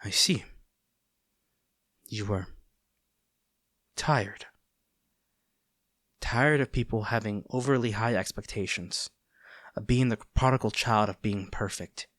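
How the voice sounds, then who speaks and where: A man playing a conflicted heroic captor in a dramatic audio roleplay, earnest and halting, tender toward an old friend turned enemy. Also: lowish voice, a bit bright, no echo, clean studio sound. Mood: somber. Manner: earnest, halting, formal wording with dramatic pauses.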